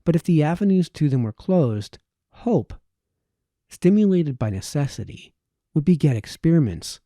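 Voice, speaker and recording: A man reads a formal old essay aloud in a very dark, soft-edged voice. His phrasing is measured, with an occasional stumble over a word. The sound is dry and close, with little room around it. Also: a little high, big pitch swings, no room sound. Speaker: amateur reader of historical texts. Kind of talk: read-aloud of formal historical essay, audiobook style.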